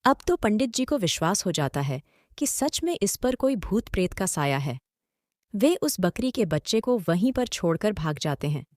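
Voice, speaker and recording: A woman's voice: mid-range voice, big pitch swings, normal speed, dry recording, clean studio sound. A woman narrating a scripted story as host and storyteller.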